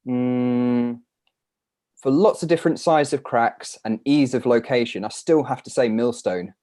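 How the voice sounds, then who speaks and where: A man's voice: medium pitch, a little slow, dark tone. A British man, a climber, answering questions in a casual live chat, rambling a little as he thinks aloud.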